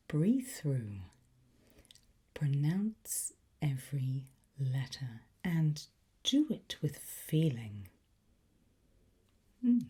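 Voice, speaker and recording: A woman doing an ASMR teacher roleplay in a breathy whisper, giving slow, deliberate, coaxing instruction with pauses. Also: sits low, dark tone. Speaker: ASMR performer playing a language teacher.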